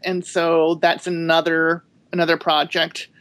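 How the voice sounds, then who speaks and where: A woman author speaking as a guest on a podcast panel, reflective and halting, with many restarts. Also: sits low, completely flat delivery. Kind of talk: podcast interview.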